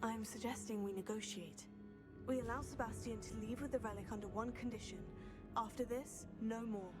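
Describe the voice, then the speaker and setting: A young woman playing a composed, diplomatic teenage witch in a video game, measured and articulate. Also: a little high, steady tone, over music, close to an everyday voice. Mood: persuasive. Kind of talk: dramatic video game voice acting.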